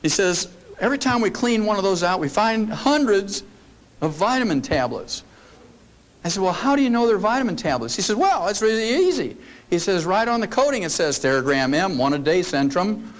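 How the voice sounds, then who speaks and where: An older man with a fairly high voice lectures a roomful of people in a folksy, storytelling way. He leans on jokes and homespun asides as he makes his points. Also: big pitch swings, fairly quick, rough recording. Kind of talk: folksy seminar talk. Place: meeting room before an audience.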